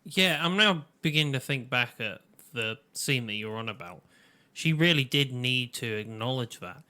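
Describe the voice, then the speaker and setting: A man's voice: medium pitch, lively intonation, normal speed, bright, forceful tone. A man with a Yorkshire accent co-hosting a podcast, joking and teasing and swearing freely. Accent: Yorkshire English. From Yorkshire, England. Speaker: podcast co-host. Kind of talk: casual banter.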